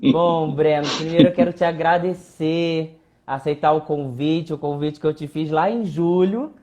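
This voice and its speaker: A man hosting a casual live interview, friendly and chatty, often tagging questions with a quick filler. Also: on the high side, a little mellow. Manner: friendly, laughs often, says né a lot. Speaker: psychologist host of the live.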